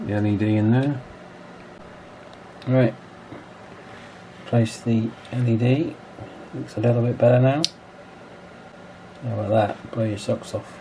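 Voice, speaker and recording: A man's voice: mid-range voice, fairly even intonation, a little slow, pauses often, dark tone. Man, noisy casual workbench narration. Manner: hesitant, thinking aloud. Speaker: video host, radio restoration hobbyist.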